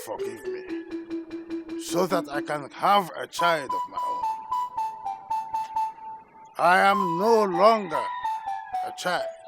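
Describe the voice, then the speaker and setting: A man's voice: sits high, lively intonation, slow pace, long pauses, loud music behind the voice, exaggerated voice. A man playing a desperate childless villager who pleads with the gods, then turns tearful and frantic. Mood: pleading prayer. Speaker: childless husband. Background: loud film score.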